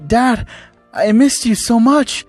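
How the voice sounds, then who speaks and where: Man voicing an earnest, high-pitched naive boy. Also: very animated, slow pace, no echo, soft background music, exaggerated voice. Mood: tearful joy. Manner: earnest, pleading. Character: naive, anxious, emotional young son.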